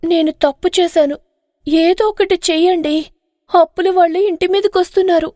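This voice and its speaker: Woman acting a very high, anxious village wife. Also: fairly even intonation, moderate pace, a little mellow, no echo, studio quality. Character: naive, anxious young village wife, eager then regretful. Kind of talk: acted moral-story dialogue.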